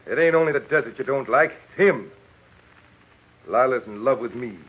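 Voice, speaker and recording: An actor playing a brazen, goading American desert gunman with rough rustic talk in a radio drama. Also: mid-range voice, lively intonation, normal speed, narrow, tinny audio. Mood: cocky, goading. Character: brazen, goading Western desert rival with a gun. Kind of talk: 1940s radio drama acting. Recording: thin, faintly crackly old radio recording.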